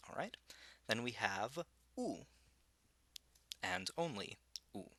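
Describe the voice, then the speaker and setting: A man teaching a language lesson, slowly sounding out syllables, with a slight Spanish tinge to his English and many "uh"s and "um"s. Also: lowish voice, big pitch swings, a bit bright, dry recording. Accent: English with some Spanish influence. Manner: slow, careful, with frequent "uh" and "um".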